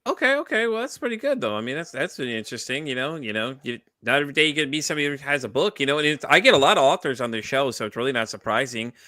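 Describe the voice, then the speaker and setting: A man's voice: medium pitch, animated, rapid-fire, hardly any pauses, bright, forceful tone, no room sound. A man hosting an interview show, chatting casually and enthusiastically with his guest and leaning on fillers like "you know.". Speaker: podcast host.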